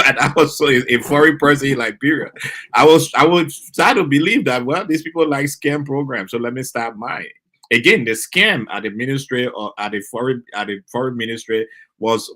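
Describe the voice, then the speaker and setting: Bright-voiced man, halting and chuckling. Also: mid-range voice. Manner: hesitant, many restarts and chuckles. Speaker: academic panelist. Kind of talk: panel discussion. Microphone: video call.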